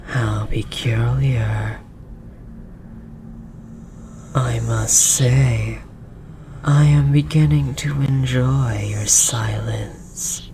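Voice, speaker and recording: A performer voicing an ancient forest god in a roleplay audio drama, solemn and condescending, with a breathy, whispery voice and archaic formal phrasing. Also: medium pitch. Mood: intrigued, gentle. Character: ominous ancient forest god, possessive, condescending, gently menacing. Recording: noisy, layered forest ambience and effects. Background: forest ambience.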